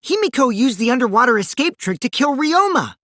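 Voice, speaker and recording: Game role: mischievous teenage boy, taunting, theatrical liar, wildly lively pitch, dry close sound. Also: a little fast, no room sound, exaggerated voice. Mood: excited, insistent. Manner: theatrical, teasing, swings from sly to gleeful. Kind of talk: animated video game voice acting.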